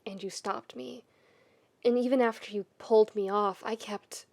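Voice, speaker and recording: A woman voicing an anxious, ashamed young man who confesses haltingly in hushed whispers, in an audiobook-style drama. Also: medium pitch, steady tone, gravelly. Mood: ashamed, anguished.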